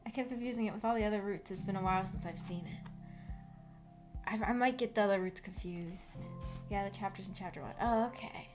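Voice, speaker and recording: A woman hosting a book club livestream, chatting casually and haltingly with her audience, often giggly. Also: medium pitch, over music. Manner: giggly, breaks into small laughs often. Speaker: host of a visual novel book club stream. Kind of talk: casual livestream discussion with chat.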